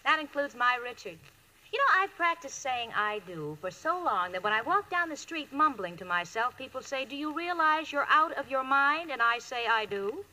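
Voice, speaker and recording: A woman's voice: high-pitched, sing-song, moderate pace, sharp tone, heavily acted. An actress playing a wry, marriage-hungry young single woman in a 1940s radio comedy, with theatrical comic delivery on an old recording. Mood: dry, teasing. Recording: noisy vintage radio recording.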